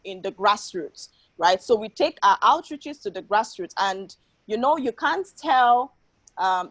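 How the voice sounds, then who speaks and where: Female organization founder, interview register, explanatory and earnest, mid-pitched, very little room sound. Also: no room sound. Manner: often tags statements with a questioning "right?".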